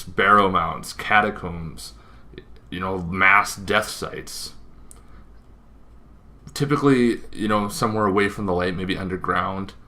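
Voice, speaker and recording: Man, deep pitch, crisp bright edge, informal gaming explainer, halting delivery, frequent asides. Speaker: tabletop gaming video host. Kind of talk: casual explainer on tabletop roleplaying lore.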